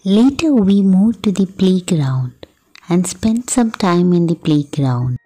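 A woman's voice: fairly low pitch, expressive, slow, warm tone, breathy edge, faint music behind. A woman vlogger narrating a farm trip in a plain voice-over, explaining each stop simply.

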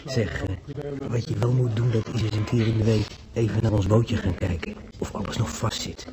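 A man's voice: lowish voice, fairly even intonation, normal speed, over a noisy crowd, lightly acted. An actor in a radio play playing a chatty, jealous working-class husband who frets from his hospital bed. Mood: restless, chatty.

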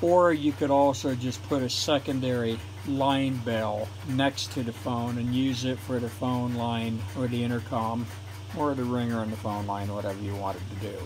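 A man, a former telephone installer, calmly explaining equipment on his workbench in a home workshop. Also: medium pitch, background noise. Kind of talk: technical explanation voice-over for a hobby video.

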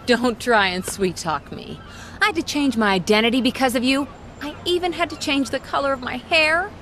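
Sharp, exasperated young woman. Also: sits high, lively intonation, moderate pace, a little hiss or hum. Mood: angry, exasperated. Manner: scornful, exasperated. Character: indignant, exasperated young woman, wronged old flame. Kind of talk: animated video game character acting.

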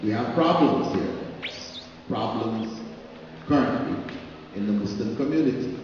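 Middle-aged male lecturer, measured pauses, podium mic, heavy hall echo from far off. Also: mid-range voice, animated, background noise. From Canada. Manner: slow, deliberate, pausing between phrases. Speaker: Islamic lecturer. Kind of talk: public lecture. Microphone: podium microphone on stand. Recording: echoey hall, distant, dull audio.

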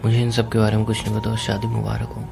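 A man's voice: fairly low pitch, monotone, quick pace, gravelly. A young man in an emotional private phone conversation, speaking in a pleading, insistent way. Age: young adult. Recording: phone call recording with music. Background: music.

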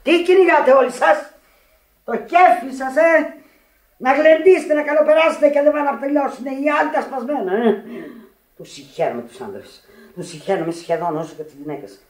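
A woman's voice: high-pitched, expressive. An actress playing a suspicious, proud Sicilian widowed mother in a radio drama, questioning bluntly and volatile in temper. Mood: scornful. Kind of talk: theatrical radio drama acting. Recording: roomy old radio recording.

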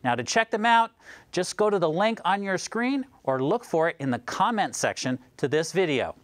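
A man's voice: high voice, very animated, moderate pace, no room sound. A middle-aged American man presenting a scripted news feature.

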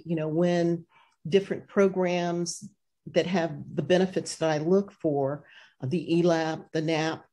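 An older woman speaking over a video call from her home office. Her voice sits low and mellow as she offers earnest, practical advice, often pausing on "um" and "you know". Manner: earnest, advisory, many fillers. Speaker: farmer panelist. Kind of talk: webinar panel answer. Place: home office with ceiling fan. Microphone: video call webcam.

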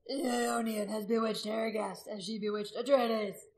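A woman voicing a hostile male pagan warrior in a story, declaiming forcefully as in a heated argument. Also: a little high, steady tone, moderate pace, natural voice, low-quality recording. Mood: alarmed commanding.

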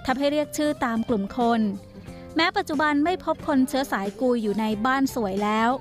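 A woman narrates a documentary in a high, measured voice. Her reading is steady and scripted. A strong music bed runs beneath her. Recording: voice-over with loud music bed.

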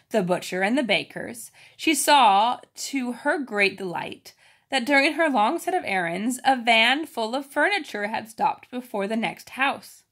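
A woman reads a children's story aloud with expressive emphasis, pausing to explain it informally. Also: on the high side. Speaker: storybook reader.

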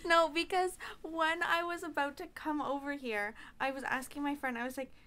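A woman's voice: high voice, steady tone, normal speed, sharp tone. A young woman telling stories in casual podcast chat, acting out what people said. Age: young adult. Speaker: podcast guest.

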